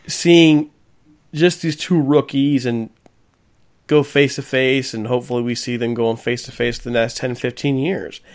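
A man's voice: medium pitch, gravelly. A man hosting a podcast in casual chat, asking long, rambling questions. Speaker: podcast host.

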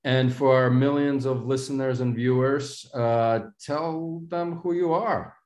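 A man hosting a video-call podcast, his mid-pitched voice carrying a roomy echo. He talks in a loose, joking way, keeping things chatty and unproduced. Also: fairly even intonation, measured pace, darkish tone. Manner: jokey, chatty. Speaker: podcast host. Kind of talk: casual interview chat.

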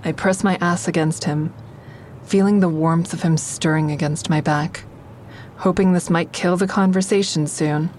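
A woman's voice: fairly low pitch, steady tone, normal speed, a little mellow, slight background noise, lightly acted. An actress playing a frank, sardonic young woman who narrates her own story in an audio drama in a confessional, wry way. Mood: intimate. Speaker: actor in a fiction podcast.

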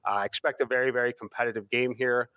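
Betting analyst dude walking through numbers, even tone, dry close sound. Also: male voice, mid-range voice, fast, dry recording, dull audio. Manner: steady, stat-heavy explanation. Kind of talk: giving betting picks.

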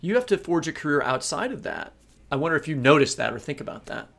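A man's voice: fairly high pitch, very animated, fast talker, vocal fry, a bit bright. A man co-hosting a literary podcast, interviewing in a conversational, opinionated and discursive way. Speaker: podcast co-host.

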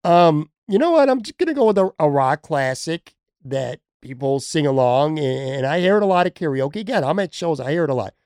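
A man's voice: on the high side, animated, a little fast, a little mellow, clear, resonant tone, no echo, clean studio sound. A man hosting a casual sports podcast, chatting and joking with a guest. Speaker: podcast host.

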